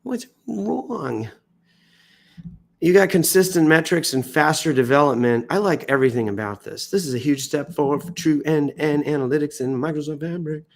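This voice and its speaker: A man's voice: fairly high pitch, moderate pace, gravelly. An American man hosting a livestream, reading news posts off the cuff in a theatrical, jokey way with exaggerated emphasis, sounding a bit under the weather. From United States. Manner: theatrical, swoops into exaggerated emphasis and self-mocking asides. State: sick and tiring, coughing now and then. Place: indoors.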